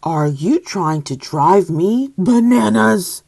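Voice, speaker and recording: Weary storybook frog father, big and loving read by a woman: emphatic, fatherly, lively swings in pitch. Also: slow pace, vocal fry, slightly rough, heavily acted. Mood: exasperated.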